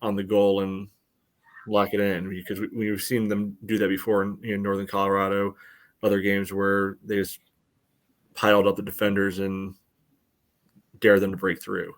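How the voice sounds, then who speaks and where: A man co-hosting a sports podcast, giving casual analysis in a halting, rambling way padded with filler phrases. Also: on the low side, monotone. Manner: halting, rambling, very frequent "you know". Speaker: podcast co-host.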